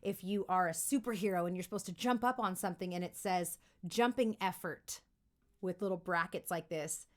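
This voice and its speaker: A woman giving an upbeat, conversational lesson as a voice acting coach, animated and encouraging, often checking in with listeners. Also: lowish voice, moderate pace. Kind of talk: upbeat instructional talk to camera.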